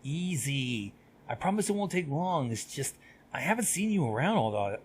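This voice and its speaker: A man's voice: on the high side, sing-song, moderate pace, slight background noise. A man voicing a young male griffin student with a casual, coaxing manner in a story reading.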